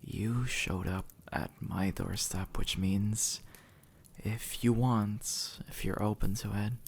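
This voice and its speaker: A man playing an easygoing, centuries-old demon who was once human, speaking gently and casually to the listener in an intimate audio roleplay. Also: lowish voice, fairly even intonation, slow, gravelly, breathy edge, full character voice. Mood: casual, teasing. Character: easygoing two-century-old male demon, formerly human, reassuring and teasing.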